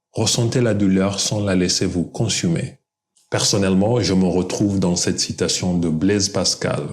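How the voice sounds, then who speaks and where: A young Kenyan man with a Kenyan accent, whose first language is Luo, speaking French in a calm, measured and earnest scripted monologue. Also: on the low side. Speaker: video creator.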